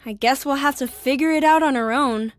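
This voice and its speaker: A woman's voice: high voice, a little fast, no room sound, studio quality, close to an everyday voice. A woman acting in an audio drama as a curious, determined schoolgirl with an earnest, inquisitive manner.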